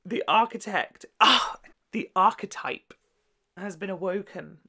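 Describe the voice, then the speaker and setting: A woman hosting a video, giving casual, gushing and expressive commentary in a campy way. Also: on the low side, creaky. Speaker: YouTube host reviewing a children's TV show.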